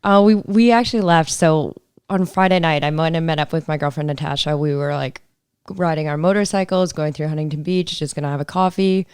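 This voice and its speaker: A woman from Canada, a motorcycle racer, telling stories in casual chat, upbeat and slightly hoarse. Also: low voice, fairly even intonation, a little fast, darkish tone, no room sound, clean studio sound. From Canada, southern Alberta. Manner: upbeat storyteller, laughs often. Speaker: guest, supermoto racer.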